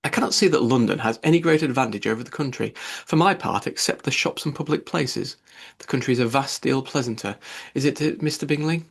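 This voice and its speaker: A man's voice: mid-range voice, fairly quick, gravelly, slightly rough. A man voicing a fussy, gushing, easily offended middle-aged mother in a classic novel audiobook, effusive and indignant. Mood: indignant, triumphant.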